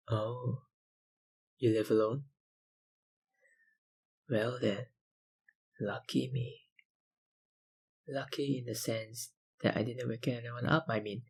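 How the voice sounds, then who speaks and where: A slow, husky vampire researcher, acted by a man for an ASMR roleplay. He is smooth-talking and obsessive, with menacing politeness. His breathy, deliberate words sit at a medium pitch and often sink toward a whisper. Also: heavily acted, poor audio. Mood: sly politeness. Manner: slow, deliberate, politely insinuating, with sighs and pauses. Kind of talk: intimate ASMR roleplay monologue.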